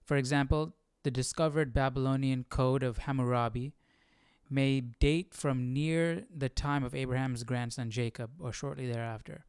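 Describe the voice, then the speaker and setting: A man's voice: mid-range voice, fairly even intonation, moderate pace, a little mellow, clear, resonant tone, no echo, studio quality. A man hosting a live Bible study, informal and curious, thinking aloud as he chats and reads. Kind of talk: casual livestream chat and reading aloud.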